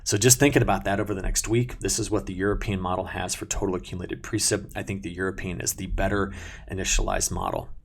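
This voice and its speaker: A man's voice: sits low, lively intonation, quick pace, vocal fry, a little hiss or hum. A man explaining a weather forecast over maps in a conversational, unscripted way, as a forecaster walking viewers through the details. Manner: conversational, unscripted, pointing things out.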